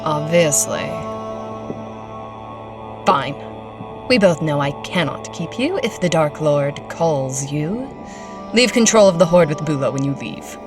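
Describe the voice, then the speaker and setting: A woman's voice: low-pitched, animated, takes it slowly, long pauses, over music. A woman playing an imperious, curt commander of an undead horde in a fantasy audio drama, heard from a distance.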